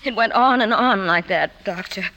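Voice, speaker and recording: An actress playing a troubled woman confessing painful memories in an emotive, halting way, in 1950s radio drama style. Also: mid-range voice, pushed and bright, poor audio. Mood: hurt, remembering. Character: troubled career woman confiding painful childhood memories to her doctor. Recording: 1950s radio broadcast, music behind.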